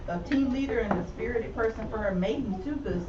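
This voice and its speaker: Soft-spoken woman heard from afar. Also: a little high, a little fast. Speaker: study group member. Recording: echoey, distant noisy lo-fi recording.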